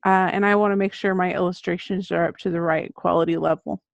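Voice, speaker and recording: Creaky-voiced woman, muffled dry recording. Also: lowish voice, steady tone, fast talker, dry recording. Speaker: guest, children's book author. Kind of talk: conversational interview answers. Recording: dull lo-fi audio.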